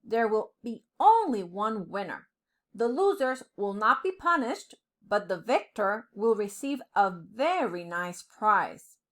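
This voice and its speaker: A woman's voice: medium pitch, big pitch swings, slow pace, fairly bright tone. A woman voicing a proud, stern male parrot who rules the forest, speaking in a commanding, regal storybook manner. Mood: proclaiming, stern. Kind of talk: storybook character voice.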